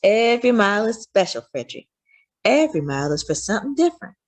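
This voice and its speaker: A woman voicing a loving, patient mother who gently reassures her young son in a children's storybook read-aloud. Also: mid-range voice, sing-song, quick pace, pitched above the performer's own voice. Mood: tender, reassuring.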